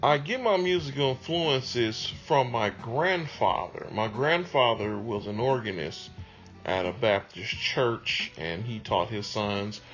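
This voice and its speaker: Unhurried man. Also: medium pitch, expressive, fairly bright tone, dull audio, soft background music. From Detroit, USA. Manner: unhurried, conversational, with many ums. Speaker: music producer interviewee. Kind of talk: reflective interview answers.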